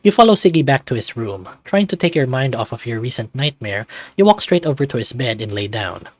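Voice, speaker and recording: A man's voice: medium pitch, sing-song, a little fast, no room sound. A man reading a visual novel aloud as narrator and player, with occasional asides of his own.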